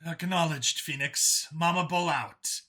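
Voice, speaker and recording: A man voicing a brisk female squad leader giving clipped military reports over comms in an audio story. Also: fairly high pitch, bright, forceful tone. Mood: businesslike. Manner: clipped military radio talk.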